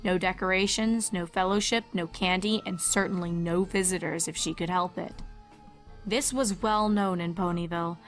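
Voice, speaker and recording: Woman narrating a story over soft music. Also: lowish voice, fairly even intonation, normal speed.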